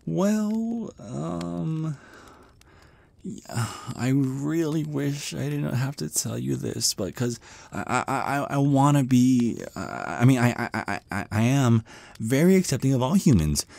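A man's voice: mid-range voice, expressive, slow, gravelly, darkish tone, no echo. A man playing a shy, nervous male vampire in an ASMR roleplay, stammering and hesitating apologetically as he talks. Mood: flustered, apologetic. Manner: stammering, hesitant, flustered, rambling. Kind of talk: ASMR roleplay monologue, conversational.

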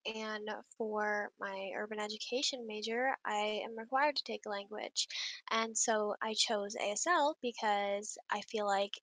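A woman's voice: mid-range voice, a little slow, no echo. A young woman, a college student, answering interview questions casually with some fillers. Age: young adult. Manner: relaxed, with fillers like um and like.

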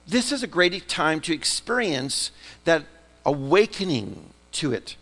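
Man preaching in a reverberant sanctuary. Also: fairly high pitch. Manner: dramatic swings between loud emphatic and soft hushed delivery. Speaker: pastor. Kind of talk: sermon. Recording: long reverb of a large sanctuary.

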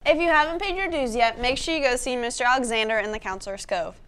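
A woman's voice: fairly high pitch, fast, fairly bright tone, clear, resonant tone. A teenage American young woman anchoring scripted school news announcements. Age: teens. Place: indoor school studio.

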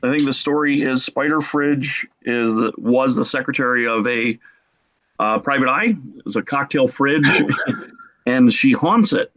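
A man's voice: medium pitch, measured pace, a bit bright, low-quality recording. A middle-aged man chatting casually as a podcast guest on a video call, telling jokey stories and mimicking quotes. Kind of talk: casual group chat. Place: small room at home, video call.

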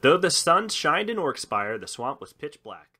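A man's voice: on the high side, very animated, moderate pace, pushed and bright, breathy edge. A man co-hosting a comedy podcast, playfully improvising fantasy stories in a casual way. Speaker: podcast co-host and storyteller.

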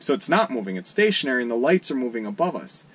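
A man in his thirties, a sailing vlogger, chatting in a mock-earnest, deadpan way from a small wooden boat cabin. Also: medium pitch, fast, slightly rough. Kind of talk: deadpan satirical chat. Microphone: camera in front at close range.

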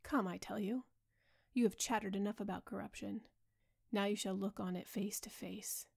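A woman's voice: mid-range voice, fairly even intonation, normal speed, gravelly, darkish tone, breathy edge. A woman reading the part of a proud, insolent young man who mocks his older friend, speaking in a hard, scornful way.

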